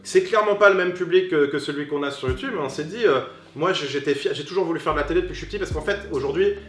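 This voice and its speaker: A man in his thirties talking casually as an interview guest, animated and talkative, often restarting his sentences. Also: a little high, rapid-fire. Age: 30s. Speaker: guest, comedian. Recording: echoey room with music behind.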